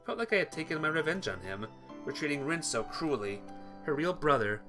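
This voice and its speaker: A man's voice: a little high, expressive, a little fast, music in the background. A man reading visual novel text aloud as dramatic, earnest, emotive story narration for a playthrough.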